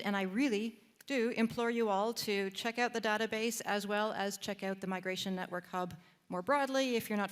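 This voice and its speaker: A woman's voice: medium pitch, clear, resonant tone. A woman with a Canadian accent presenting at a conference, guiding the audience through a website with frequent fillers and restarts. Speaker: presenter demonstrating a database. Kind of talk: live panel presentation, guiding a screen walkthrough. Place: conference room.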